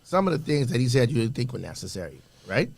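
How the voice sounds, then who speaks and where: A man's voice: mid-range voice, big pitch swings, fast, creaky, background noise. A man co-hosting a radio panel show, pressing others with leading questions. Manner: probing, asks leading questions. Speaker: radio talk show co-host. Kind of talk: casual radio panel discussion.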